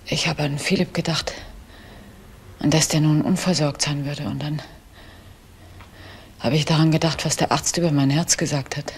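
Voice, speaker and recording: An actress playing a distressed older woman who makes a halting, subdued confession in a German radio crime drama. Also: deep voice, fairly even intonation, breathy edge. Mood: subdued, anguished.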